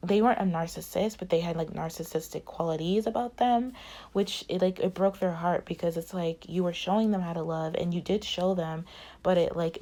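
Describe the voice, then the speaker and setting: A woman's voice: on the low side, warm tone. A woman giving a casual tarot reading, rambling with many fillers while losing her voice. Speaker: tarot reader.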